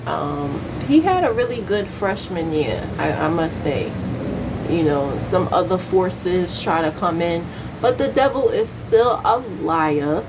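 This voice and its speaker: A woman's voice: lowish voice, very animated, slow pace, background noise. An American woman in her thirties chatting casually as a vlogger, candid, slangy and opinionated. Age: 30s. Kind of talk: casual chat to camera.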